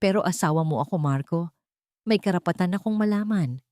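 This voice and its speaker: Give this story a woman playing an anxious wife and mother who pleads with her husband. Her voice is mellow and sits very close, with no room around it. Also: on the low side, a little fast, no room sound, close to an everyday voice. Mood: worried, pleading.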